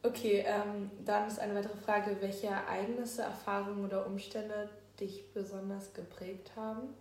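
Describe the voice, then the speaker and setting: A woman's voice: mid-range voice, level tone, moderate pace, darkish tone, slight background noise. A young woman hosting a school podcast, who asks interview questions and often rephrases them hesitantly. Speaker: student podcast host. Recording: echoey room.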